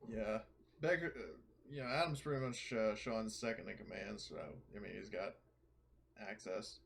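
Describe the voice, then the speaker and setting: A man's voice: lowish voice, normal speed, creaky, a bit bright, slight background noise. A man chatting casually as a tabletop game player, hesitant and often correcting himself.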